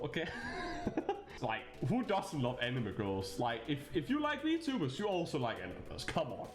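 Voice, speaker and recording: A young Danish man with a Danish accent chats through his own introduction, joking and teasing his viewers. His pitch swings widely and animatedly. The voice sits in a roomy, echoing space with faint music underneath. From Denmark. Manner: chatty, animated, jokey with bursts of laughter. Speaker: VTuber. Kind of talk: casual self-introduction video.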